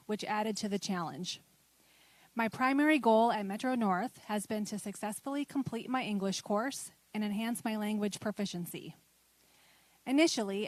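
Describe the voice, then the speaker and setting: Teacher woman reading a student essay, steady and measured, dry close sound. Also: medium pitch, no room sound, low-quality recording.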